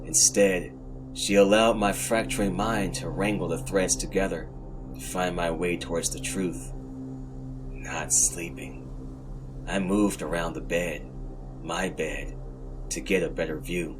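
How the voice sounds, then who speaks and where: A man's voice: low voice, fairly even intonation, measured pace, long pauses, low-quality recording. A man narrating first-person horror fiction in a dramatic scripted style, as host of a story podcast. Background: music.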